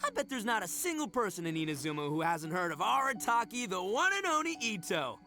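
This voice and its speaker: Brash, bright-voiced young oni. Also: male voice, high voice, very animated, fairly quick, faint music behind, exaggerated voice. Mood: friendly, boastful. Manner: boastful, brotherly, slangy. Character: brash, boastful young male oni, big-hearted show-off. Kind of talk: video game voice acting.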